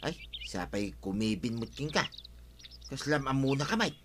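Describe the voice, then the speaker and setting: A man's voice: mid-range voice, lively intonation, moderate pace, background noise, lightly acted. A man playing a sensible village buddy in a comedy drama. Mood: exasperated advising. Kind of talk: broadcast comedy drama acting.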